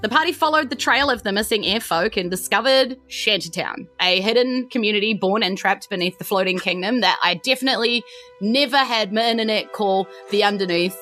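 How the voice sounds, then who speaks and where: A woman's voice: medium pitch, brisk, pushed and bright, faint music behind. A woman hosting and narrating a tabletop role-playing game. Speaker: game master and host of a tabletop RPG podcast. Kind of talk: narrating a tabletop game, part recap, part casual chat.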